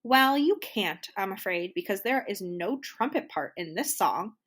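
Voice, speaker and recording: Storybook jazz cat female reader: loud, cocky, blunt bandleader, lively melodic delivery. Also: a little slow. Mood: brash, dismissive. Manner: booming, emphatic. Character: brash, booming jazz cat band leader, blunt. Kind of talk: children's storybook read-aloud.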